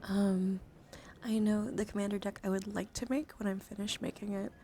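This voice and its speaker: A woman hosting an ASMR livestream, chatting in soft whispers and hushed talk, with slow, repetitive phrasing. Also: medium pitch, flat delivery. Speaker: livestream host. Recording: clear background noise on livestream.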